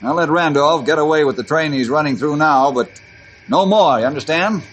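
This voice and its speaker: An actor playing a brisk, authoritative forest ranger chief in an old-time radio western. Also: male voice, a little high, a little hiss or hum, close to an everyday voice, low-quality recording. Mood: firm. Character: forest ranger chief, firm, decisive, fair-minded leader.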